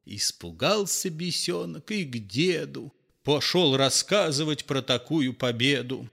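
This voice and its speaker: Мужчина читает сказку, неторопливо. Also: male voice, mid-range voice, unhurried, creaky. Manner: theatrical, lively storytelling. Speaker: narrator. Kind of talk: expressive fairy tale reading.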